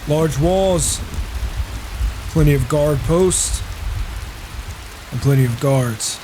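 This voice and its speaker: A man roleplaying a reclusive, self-assured mercenary warrior in a video game, talking in a measured, musing way. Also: on the high side. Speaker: roleplaying player. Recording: hissy microphone with rain and thunder. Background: rain and thunder.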